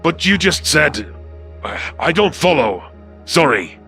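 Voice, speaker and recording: Bright-voiced, meek reptilian underling, a man acting. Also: fairly high pitch, big pitch swings, slow pace, gravelly, faint music behind, full character voice. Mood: confused. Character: meek, literal-minded male reptilian alien soldier underling. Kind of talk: audio drama acting.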